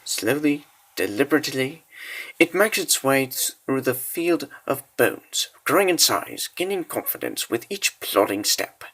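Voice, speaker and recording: A man narrating a dark fantasy story in a theatrical, ominous style with deliberate phrasing. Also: medium pitch, sing-song, low-quality recording. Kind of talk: dramatic scripted fantasy narration.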